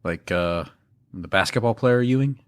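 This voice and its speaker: A man's voice: fairly low pitch, moderate pace, smooth. A man co-hosting a true crime podcast, cracking jokes and giving easygoing replies. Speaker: podcast co-host. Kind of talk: casual podcast banter.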